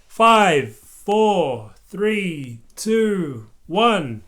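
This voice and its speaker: A parent voicing a hearty grandfather rabbit astronaut from a children's storybook, booming and encouraging. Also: big pitch swings, drawn-out words, a bit bright, heavily acted. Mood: excited countdown. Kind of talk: children's storybook read-aloud.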